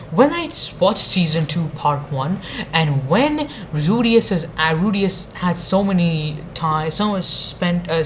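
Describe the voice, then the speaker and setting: A woman's voice: on the low side, slight background noise. A woman giving an opinionated video commentary, exasperated and emphatic, with plenty of swearing. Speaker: anime review video creator.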